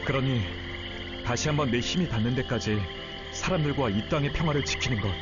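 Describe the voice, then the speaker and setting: Man voicing a gentle, earnest young teacher. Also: medium pitch, fairly quick, lo-fi sound, close to an everyday voice. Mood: earnest, reflective. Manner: kind, patient, sincere. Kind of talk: game story voice acting. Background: loud music.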